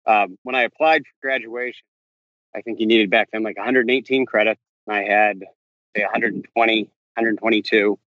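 A man's voice: on the low side, fairly even intonation, no echo, muffled recording. A man answering interview questions as a novelist, often stopping and restarting his sentences as he paces around outdoors. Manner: halting, frequent restarts and self-corrections. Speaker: novelist guest. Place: walking around outdoors on a campus.

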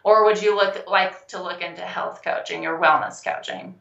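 A young adult woman, a health coach and guest, telling her story in a casual interview, animated and laughing often. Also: on the low side, normal speed, gravelly, reverberant, highs cut off. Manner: animated, laughs often, acts out quoted phone calls.

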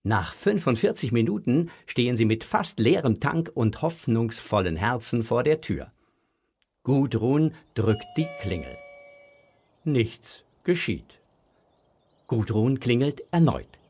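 A man narrating a scripted German children's audio story with a slightly husky voice. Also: medium pitch, very animated, slow, a little mellow, a little hiss or hum.

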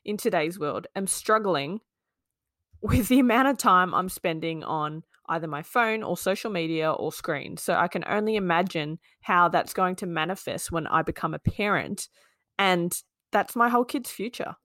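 A woman, co-hosting a casual chat in an animated way, swearing and laughing freely. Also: lowish voice, moderate pace, dry recording, clean studio sound. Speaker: podcast co-host.

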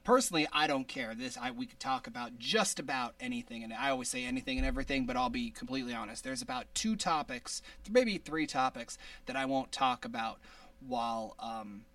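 A man with a high-pitched voice hosting a casual podcast, rambling with many restarts and laughs. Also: big pitch swings, brisk, creaky, a bit bright, no room sound, distant mic. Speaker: podcast host.

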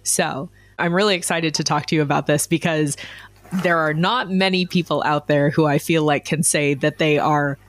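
A man's voice: on the high side, fairly even intonation, moderate pace, a bit bright. An American man hosting a podcast interview, asking friendly, curious questions. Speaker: podcast host.

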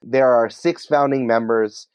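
A man's voice: mid-range voice, steady tone, unhurried, darkish tone, no room sound. A man talking casually and at length as a podcast interview guest. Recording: dry, slightly dull sound.

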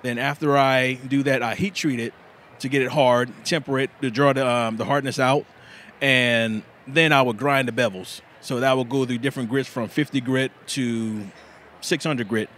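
A man talks through his knifemaking process in plain, step-by-step terms, with the odd filler and laugh. His voice sits in an ordinary middle pitch. Faint traffic noise drifts in from outside. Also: steady tone, normal speed, fairly bright tone. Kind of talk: interview answer.

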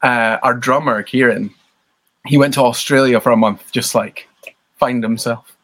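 A man's voice: medium pitch, fairly even intonation, measured pace, fairly bright tone. A Scottish man in his thirties, a band member talking casually as an interview guest, rambling and self-deprecating with lots of fillers.

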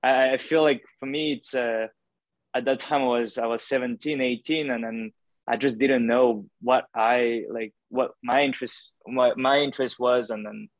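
A young man talks through his answers hesitantly, circling back with lots of ums and restarts. His voice sits at an ordinary middle pitch, recorded dry with no room sound at all. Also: fairly even intonation, normal speed, no echo. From France. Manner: hesitant, many fillers and restarts. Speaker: podcast guest, doctoral student. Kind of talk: casual interview answers.

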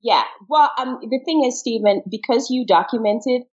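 A woman's voice: on the high side, normal speed, darkish tone. A middle-aged woman from Jamaica and the wider Caribbean, telling her story casually and expressively as an interview guest. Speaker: interview guest, marketing consultant. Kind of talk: animated casual personal storytelling. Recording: dull, band-limited lo-fi sound.

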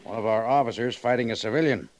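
An actor playing a commanding cavalry major in an old radio western, businesslike and dryly scornful. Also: male voice, mid-range voice, quick pace, a little mellow, lightly acted. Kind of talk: 1950s radio western acting.

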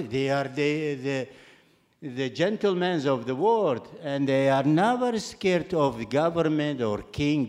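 A man speaking English as a second language, halting and searching for words, as a poet on a panel. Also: on the high side, darkish tone. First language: not English. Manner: halting, non-native phrasing. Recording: long hall reverb.

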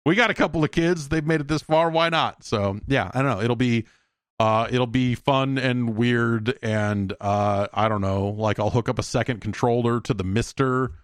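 A man's voice: medium pitch, unhurried, smooth, no room sound. A man in his late forties hosting a podcast alone, rambling casually with frequent swearing and self-deprecating comic asides, close on the microphone. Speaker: podcast host.

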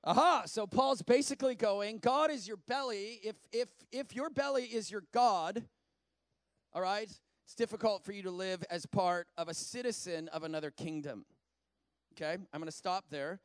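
A man in his forties preaching a sermon, reading scripture and breaking into animated, conversational asides. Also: sits high, moderate pace, no echo. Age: 40s. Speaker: pastor.

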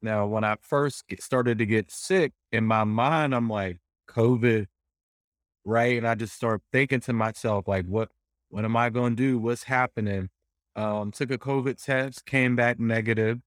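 A man co-hosting a casual podcast, sounding nasal from a summer cold, relaxed and friendly. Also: medium pitch, measured pace, no echo, clean studio sound. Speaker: podcast co-host.

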